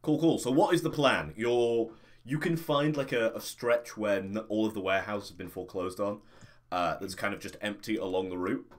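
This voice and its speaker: A man's voice: lowish voice, moderate pace. A man speaking as game master, improvising casually in loose, informal, conversational phrasing.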